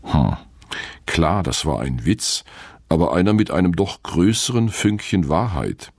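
Husky deep-voiced middle-aged man, reflective. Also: sing-song, unhurried, dry recording, studio quality. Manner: reflective, wry, self-questioning. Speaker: author and radio essayist. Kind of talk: scripted first-person radio essay. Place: radio studio.

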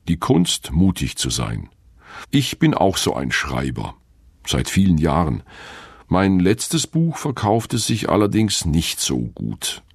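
A man's voice: sits low, darkish tone, slightly rough, no echo. A middle-aged man, an author, reading a wry, reflective first-person radio essay from a studio. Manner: reflective, wry, self-questioning.

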